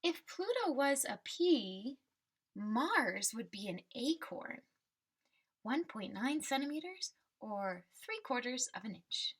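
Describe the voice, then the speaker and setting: A woman with a high, breathy-husky voice reads aloud to children as a teacher would. Her pitch moves in an animated way and her pace is unhurried. She explains things simply and deliberately. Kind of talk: children's picture book read-aloud and simple lesson.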